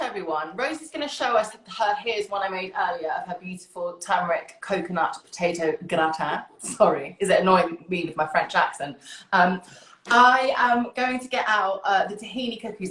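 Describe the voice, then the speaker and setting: A woman's voice: mid-range voice, fairly quick, a bit bright. A British woman hosting a live online session, chatting casually and enthusiastically to viewers. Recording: roomy, echoing sound.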